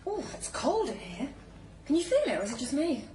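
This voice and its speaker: A woman's voice: sits high, very animated, fairly quick, dark tone, slight background noise. An actress playing a touchy, flippant, bookish woman in a naturalistic radio drama, speaking in a wry, guarded way. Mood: chilly, curious. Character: touchy, flippant, bookish woman visiting her old friend.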